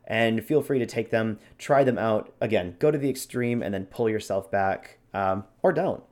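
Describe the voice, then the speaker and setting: A man with a fairly low voice talks as the host of a hobby show. He explains techniques in an informal, instructional style, with an encouraging way of putting things. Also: moderate pace, a little mellow. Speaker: show host giving role-playing tips. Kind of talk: casual instructional chat.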